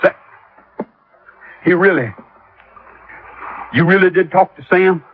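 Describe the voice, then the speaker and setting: A man's voice: high voice, slow. An actor playing a cornered, hot-tempered young male killer, agitated and threatening, in 1950s radio melodrama style. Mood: nervous, wary. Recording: noisy, muffled old radio recording.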